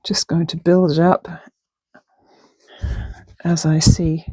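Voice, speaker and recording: A middle-aged woman chatting casually through a sewing project in her home sewing room, hoarse from a chest virus, upbeat and friendly. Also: low-pitched, level tone, slow pace, mellow, no room sound, poor audio. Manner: chatty and upbeat, talks while working. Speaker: sewing vlogger. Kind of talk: casual craft tutorial talk. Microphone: clip-on lapel mic.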